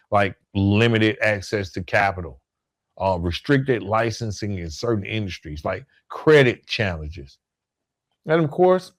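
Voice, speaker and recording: A man hosting a solo motivational podcast, speaking emphatically and deliberately, repeating key phrases and checking in with the listener. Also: mid-range voice. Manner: conversational check-ins like "right?" and "okay?". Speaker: podcast host.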